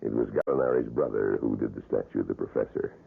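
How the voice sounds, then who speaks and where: An actor playing a grave murder museum guide in a radio drama, with a gravelly, husky voice and a measured, matter-of-fact manner. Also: male voice, rumbling low, heavily acted. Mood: grave, ominous. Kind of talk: old-time radio drama acting. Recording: thin, phone-like old radio recording.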